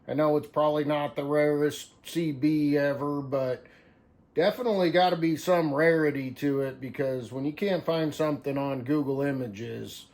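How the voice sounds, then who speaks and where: Man, moderately high pitch, casual demonstrator, informal, rambling, thinking aloud. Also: fairly even intonation, moderate pace, a little mellow. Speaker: video host. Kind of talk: casual hands-on show-and-tell.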